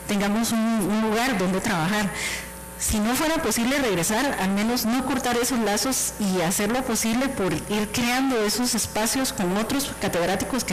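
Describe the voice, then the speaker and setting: A woman's voice: medium pitch, low-quality recording. A woman professor from Guatemala, speaking earnestly on a university panel. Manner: earnest, idealistic. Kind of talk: panel talk.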